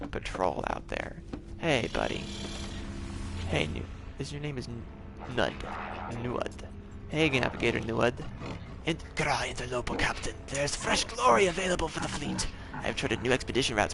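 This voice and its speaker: A man's voice: medium pitch, vocal fry, background noise. A man casually commenting on his game for a live stream, rambling and tripping over his words as he plays at home. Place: home, gaming on a TV.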